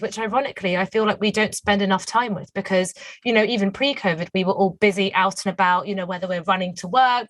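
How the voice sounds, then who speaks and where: A hoarse young woman, a guest baker, chatting enthusiastically on the phone with many fillers. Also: mid-range voice, dry recording, rough recording. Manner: enthusiastic, lots of "you know" fillers. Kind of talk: phone interview. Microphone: phone line.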